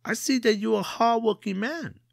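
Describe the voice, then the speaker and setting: A man playing a sly, high-voiced trickster. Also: fairly quick, gravelly, no echo, clean studio sound. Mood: wheedling. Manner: wheedling, boastful. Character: sly young male trickster, boastful.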